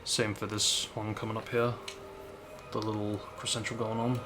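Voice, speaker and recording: A man's voice: on the low side, flat delivery, normal speed, over music. A man hosting a gaming video, giving casual, thoughtful and explanatory reaction commentary. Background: game cutscene soundtrack.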